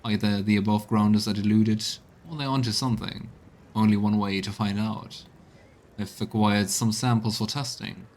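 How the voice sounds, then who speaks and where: A man's voice: on the low side, fairly even intonation, moderate pace, darkish tone. A man streaming a game, reading texts aloud and musing on them in gameplay commentary. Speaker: let's play streamer.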